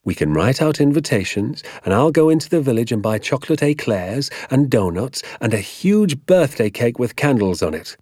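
A man's voice: medium pitch, big pitch swings, moderate pace, hardly any pauses, darkish tone, no room sound, studio quality, voice pushed up. Man as a kindly, encouraging father. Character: kindly father coaxing his young son.

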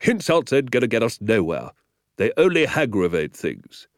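A man's voice: mid-range voice, very animated, moderate pace, no echo, studio quality, lightly acted. A man doing a stern, officious village sergeant. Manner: formal, officious, ungrammatical at times.

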